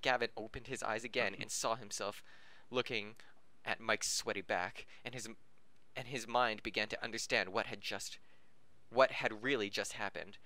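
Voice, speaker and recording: Bright-voiced man reading aloud, close and dry. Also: lowish voice, fairly even intonation, moderate pace, dry recording. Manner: breaks off to laugh, joke and correct misreadings. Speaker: podcast host reading fan fiction aloud. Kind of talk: amateur story reading with joking asides.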